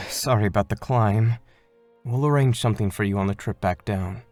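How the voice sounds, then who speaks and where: A man's voice: fairly low pitch, normal speed, a little mellow, soft background music, lightly acted. Man voicing a formal, composed monk guardian. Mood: steady, considerate. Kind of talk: animated fan dub acting.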